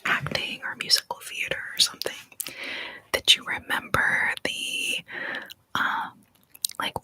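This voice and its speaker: A young adult from Texas whispering playful tongue twisters and small talk in an ASMR video, each word shaped crisply. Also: bright, forceful tone, poor audio. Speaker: ASMR creator.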